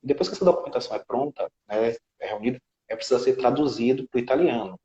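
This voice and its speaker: A man's voice: medium pitch, mellow, muffled recording. Brazilian man explaining in a roomy room. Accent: Brazilian Portuguese. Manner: measured, explaining step by step, frequent tag questions. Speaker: citizenship law specialist, guest. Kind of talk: explanatory interview talk.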